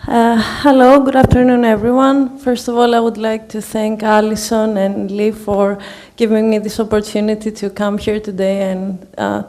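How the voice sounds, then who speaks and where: A woman's voice: mid-range voice, little pitch movement, normal speed, dark tone. A young woman from Greece with a Greek accent, giving an academic talk with many fillers and hesitations. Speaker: guest speaker.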